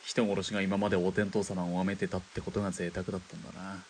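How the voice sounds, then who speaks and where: A man playing a blunt, cynical hired killer in a 1920s Japanese radio drama, talking in rough, casual masculine speech. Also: sits low, level tone, quick pace, a little mellow, slight background noise. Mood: wry, wary.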